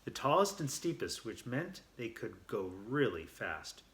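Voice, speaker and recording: A man telling a children's story aloud, reading a little haltingly and repeating words as he goes. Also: medium pitch, big pitch swings, a bit bright, slight background noise.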